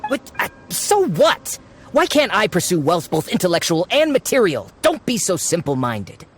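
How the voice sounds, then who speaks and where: A man's voice: sits high, huge pitch swings, moderate pace, faint music behind. An actor playing a shifty, greedy, boastful man posing as a scholarly treasure researcher in a video game, full of bluster and stammered excuses. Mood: flustered, defensive.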